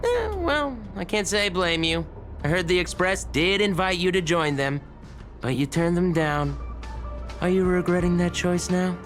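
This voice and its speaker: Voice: mid-range voice, moderate pace, close to an everyday voice. An actor voicing a chatty, weary, teasing researcher in a video game, with sighs and muttered asides. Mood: exasperated, mocking. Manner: expressive, sighing, muttering asides. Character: chatty, weary, teasing station researcher, a grumbling office senior. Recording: studio voice over game music.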